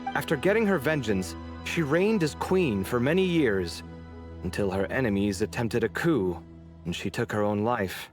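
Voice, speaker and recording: A man performs a young, opinionated artist-scholar from a fantasy game. His mid-range voice moves with lively expression as he reasons things out. Faint music sits behind him. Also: mid-range voice, moderate pace, lightly acted. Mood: recounting. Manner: expressive, slightly indignant, thoughtful. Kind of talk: animated video game voice acting.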